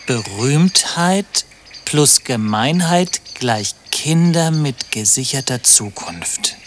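A man's voice: mid-range voice, lively intonation, slow pace, a little hiss or hum. A man in a German radio play acting a wry, aggrieved musician with dry, sardonic phrasing. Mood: morose, sardonic.

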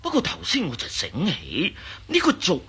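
A man playing an absent-minded, scholarly professor in a radio drama, hesitant and muttering, with a raspy, husky voice. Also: sits high, huge pitch swings, moderate pace, bright, forceful tone, full character voice. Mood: thoughtful. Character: absent-minded, scholarly male Egyptology professor, easily excited. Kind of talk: Cantonese radio drama acting.

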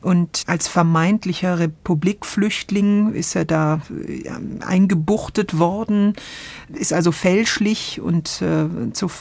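Woman podcast co-host, gravelly creak, dry close sound, talks radio drama, searching and expressive. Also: lowish voice, slow, no echo.

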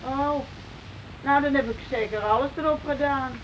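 An actress in a Dutch radio play playing a middle-aged, put-upon housewife, plaintive and passive yet stubbornly answering back. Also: female voice, sits high, normal speed, natural voice. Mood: sheepish. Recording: muffled, hissy old radio recording.

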